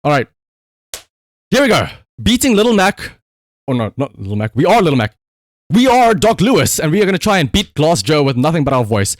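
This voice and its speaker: A man doing casual livestream gaming commentary in an excitable, emphatic way, prone to shouting and swearing. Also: fairly high pitch, brisk, long pauses, dry recording. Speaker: live streamer.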